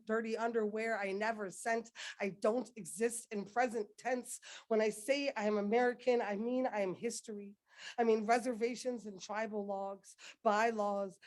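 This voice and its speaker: A woman's voice: fairly high pitch, darkish tone. Woman performing spoken word poetry. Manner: rhythmic, building intensity with repeated refrain. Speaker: poet reading at a dedication ceremony. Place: ceremony podium.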